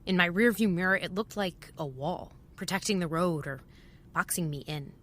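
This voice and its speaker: A wry, world-weary woman records her travel journal, as acted by a woman in an audio drama. Her voice sits a little low with a bright, forward edge, and she reflects in short fragments. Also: normal speed, slight background noise, close to an everyday voice. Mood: reflective, observant. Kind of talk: first-person audio drama narration.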